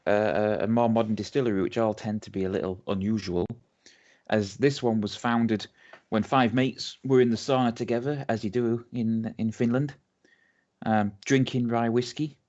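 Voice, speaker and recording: Chatty English man, low voice. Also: a little mellow. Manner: chatty, rambling with many ums and restarts. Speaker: podcast co-host. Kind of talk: casual chat, telling a distillery's story. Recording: dull lo-fi audio.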